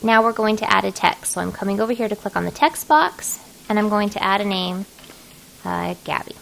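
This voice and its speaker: Creaky-voiced woman giving a casual tutorial. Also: mid-range voice, fairly quick, a bit bright, low-quality recording. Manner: casual, folksy, thinking aloud while working. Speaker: craft design software tutorial host. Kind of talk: informal screen-recorded tutorial narration.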